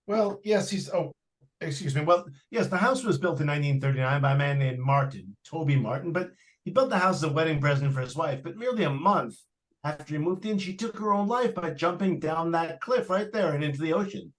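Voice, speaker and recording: A man's voice: on the high side, expressive, fairly quick, lightly acted. An actor playing a fussy, matter-of-fact male real estate agent in an old-time radio drama, earnest with hesitant starts. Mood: earnest, dismissive. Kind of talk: 1940s live radio drama acting.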